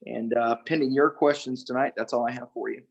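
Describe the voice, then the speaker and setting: A man giving a matter-of-fact, measured briefing as a city official on a conference call. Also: mid-range voice, steady tone, vocal fry, highs cut off. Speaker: city emergency management official. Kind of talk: spoken briefing to a council meeting.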